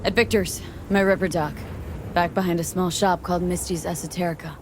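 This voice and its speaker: A woman's voice: lowish voice, steady tone, a little fast, background noise, lightly acted. A woman playing a guarded young street mercenary in a video game, talking in a sardonic, clipped, casual way. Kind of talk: naturalistic video game acting.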